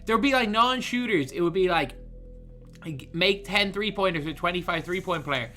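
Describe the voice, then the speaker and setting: A young man with a very high, bright voice rants about a video game. He speaks with a lisp because his tongue is swollen. His tone stays exasperated throughout, with soft music playing underneath. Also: animated, moderate pace. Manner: exasperated, ranting. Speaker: gaming streamer. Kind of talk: ranting gameplay commentary.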